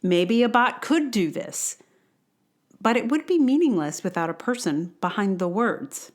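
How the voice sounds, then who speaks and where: A woman hosting a podcast, reading a personal essay in a conversational, wry and self-deprecating way with emphatic turns. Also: on the low side. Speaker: podcast host.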